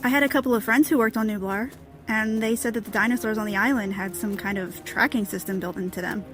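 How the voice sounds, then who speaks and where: A woman's voice: fairly high pitch, quick pace, a bit bright, faint music behind, lightly acted. A woman playing an inquisitive junior field agent in an audio drama, direct and questioning.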